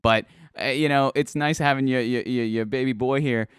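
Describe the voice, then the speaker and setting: A man's voice: mid-range voice, normal speed, clean tone, no echo, clean studio sound. A man in his twenties hosting a live-stream interview, talking energetically and speaking to the chat as he goes. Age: 20s. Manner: energetic, upbeat, addresses the chat. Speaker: host.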